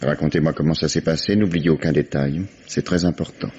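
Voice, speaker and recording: Husky, ironic police commissioner. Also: male voice, rumbling low, steady tone, darkish tone. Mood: probing. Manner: dry, teasing, unhurried questioning. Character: dry, ironic middle-aged police commissioner. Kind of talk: 1950s radio drama acting. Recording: dull old radio drama recording.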